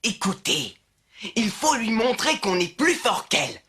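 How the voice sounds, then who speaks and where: An actress voicing a bossy, scheming schoolboy ringleader, commanding and teasing, in a dubbed cartoon with music behind. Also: female voice, a little high, big pitch swings, a little slow, fairly bright tone.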